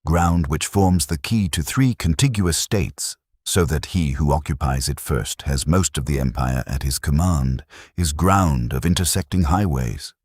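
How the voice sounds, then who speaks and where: Very deep-voiced male audiobook narrator. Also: a little mellow, husky, no room sound, clean studio sound. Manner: measured, declarative delivery in short deliberate phrases. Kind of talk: scripted audiobook narration of a classic treatise.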